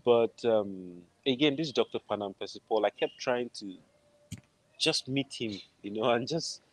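A man's voice: mid-range voice, a little slow, poor audio. A middle-aged man with a Nigerian accent, telling his story casually as an interview guest on a video call. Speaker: interview guest, film composer. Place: indoor room at home, video call. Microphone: wired earphones on a video call.

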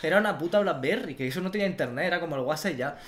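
Un hombre joven de Almería con acento andaluz, copresentador de un pódcast, cuenta anécdotas de forma animada, riendo e imitando voces, en un estudio pequeño con micrófono de mesa. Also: male voice, a little high, racing. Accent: Andalusian Spanish. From Almería, Spain. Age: young adult. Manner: animated, laughing often, acting out quotes. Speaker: podcast co-host. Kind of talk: casual storytelling chat. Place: small studio room at a desk. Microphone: desk microphone on stand.

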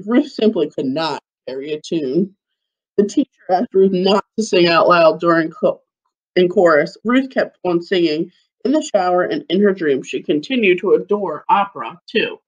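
A woman in her thirties reading a children's picture book aloud like a schoolteacher, with deliberate phrasing. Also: on the low side, expressive, lo-fi sound. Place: living room at home, seated on a couch. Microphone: webcam or laptop mic at about arm's length.

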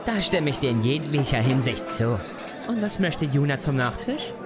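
A man's voice: medium pitch, sing-song, moderate pace, music in the background, natural voice. A man as a polite dinner date, distant. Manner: polite, attentive. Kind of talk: audio drama acting.

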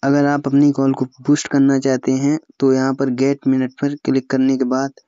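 A young Indian man giving a step-by-step phone app tutorial as a voice-over, halting when he reads English aloud. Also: mid-range voice, monotone, dark tone, smooth, no echo. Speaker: tutorial host. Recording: slightly dull, lo-fi, dry close recording.

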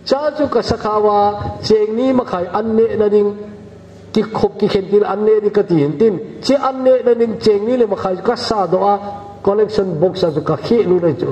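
An older man from northeast India preaching a measured, unhurried sermon as pastor in a church hall. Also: high voice, dark tone. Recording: echoey church hall, dull lo-fi sound, faint hiss.

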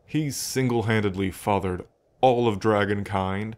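A man playing a fond, reflective dragon king in an intimate ASMR roleplay, musing aloud to the listener with pauses. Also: fairly low pitch, natural voice. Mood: amused. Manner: unhurried, musing, pausing, softly chuckling between thoughts. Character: adult male dragon king, fond, reflective, proud of ancestors.

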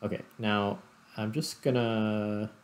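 A man's voice: fairly low pitch, little pitch movement, slow pace, a little hiss or hum. A man giving casual gameplay commentary, rambling and thinking aloud as he hosts. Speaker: gaming video host.

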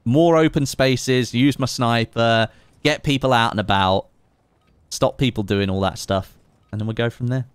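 A man's voice: mid-range voice, normal speed, clear, resonant tone, no room sound. A man, chatting casually on a live game stream, reading chat aloud and making sarcastic asides. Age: late 20s.

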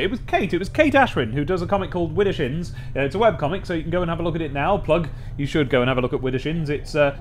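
A man's voice: on the high side, a little hiss or hum. A young British man giving casual game commentary and reading aloud, chatty, rambling and wry, close on a desk mic. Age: young adult. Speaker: let's play host. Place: small room at home or office, indoors. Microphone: desk microphone with pop filter, close.

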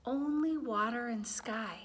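A woman in her forties reading a picture book aloud to young children, with deliberate phrasing. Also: on the high side, a bit bright. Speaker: children's story time reader. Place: large indoor room with high wooden ceiling.

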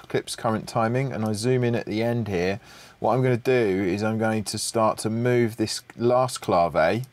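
Low-voiced man thinking through a tutorial. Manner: hesitant, thinking aloud. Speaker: audio production tutorial presenter. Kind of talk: unscripted screen-share tutorial.

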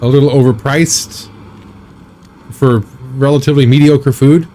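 A man in his thirties chatting casually while live-streaming a driving game, rambling with a slightly raspy, scratchy throat. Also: mid-range voice, steady tone, a little slow, dark tone. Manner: rambling, chatty, reads and answers chat.

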